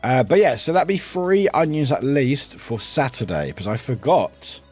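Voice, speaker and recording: Male streamer, casual rambling chat, lively delivery over soft background music. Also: medium pitch, moderate pace, husky. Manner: rambling, lots of ums and restarts, chatty with viewers.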